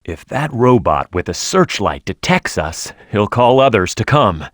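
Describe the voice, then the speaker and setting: Brash, lively cartoon echidna brawler. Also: male voice, fairly low pitch, a little slow, dry recording, studio quality. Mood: instructive, firm. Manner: brash, punchy, emphatic delivery. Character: tough, hot-headed male cartoon echidna brawler, confident and gruff. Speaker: video game character. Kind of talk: video game voice acting, tutorial hints and battle shouts.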